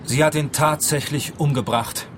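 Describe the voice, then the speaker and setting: Higher-pitched naive young male detective, actor. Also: fairly even intonation, normal speed, background noise, close to an everyday voice. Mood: self-assured. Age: young adult. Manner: naive, literal. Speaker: actor in a radio play. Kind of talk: radio comedy acting.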